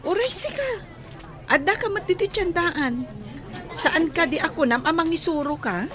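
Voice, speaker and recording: A woman's voice: very high voice, expressive, normal speed, crowd noise. An actress playing a stressed, exasperated young wife and mother in an Ilocano radio drama. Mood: weary.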